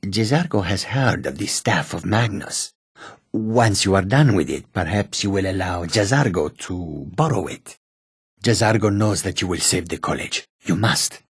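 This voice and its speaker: A proud, sly feline mage from a fantasy video game. The voice is deep and very raspy. The phrasing is formal and measured, and the recording is dry and close. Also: male voice, animated, dry recording, full character voice. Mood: eager, scheming. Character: proud, sly male cat-person mage, speaks in third person. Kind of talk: video game character dialogue.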